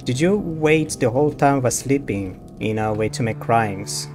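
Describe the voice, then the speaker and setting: A man's voice: medium pitch, moderate pace. A man doing casual live gameplay commentary, muttering brief reactions to himself. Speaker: game streamer. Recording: game music behind voice.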